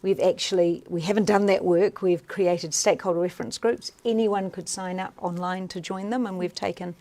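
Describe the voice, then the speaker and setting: Mellow-voiced woman explaining plainly. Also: on the low side, fairly even intonation, normal speed. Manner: direct, explanatory, assured. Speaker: regional council chair. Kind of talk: answering questions in a live stream.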